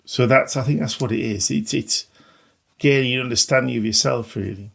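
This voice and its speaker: A man's voice: medium pitch, brisk, gravelly, a bit bright, slightly rough. A middle-aged or older man, a teacher, giving casual, reflective interview answers in a hesitant, self-effacing way. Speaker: maths teacher.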